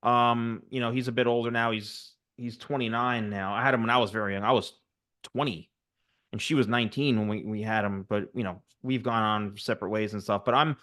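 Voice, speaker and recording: A man in his forties, hosting an interview and telling stories in a chatty, animated way. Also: fairly low pitch, a little fast. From United States. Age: 40s. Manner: chatty, animated storyteller, many fillers. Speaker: host, barber coach. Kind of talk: casual interview chat.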